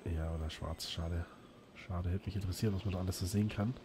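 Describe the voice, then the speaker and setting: Let's play host, male, a bit hoarse, chatty and rambling, faint hiss behind. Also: low-pitched, steady tone, fast talker. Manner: chatty, rambling, frequent fillers and restarts. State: slightly hoarse from illness. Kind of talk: casual gameplay commentary.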